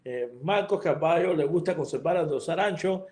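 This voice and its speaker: A man's voice: fairly high pitch, normal speed, a little mellow. A middle-aged man from Cuba giving a live lecture to fellow vets. He explains step by step, restarts his sentences often and drops English terms into his talk. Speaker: veterinary surgeon lecturer.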